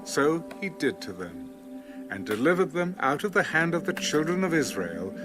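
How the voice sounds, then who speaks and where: A man's voice: fairly high pitch, sing-song, moderate pace, music in the background. A man narrating a dramatized scripture reading as a scripted storyteller.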